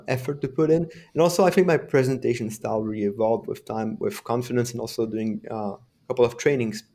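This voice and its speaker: A man talks through his experience in a measured, reflective way. His pitch sits in an ordinary middle range, and he explains points patiently as an interview guest. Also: darkish tone. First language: French. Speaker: developer advocate guest. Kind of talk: conversational interview answers.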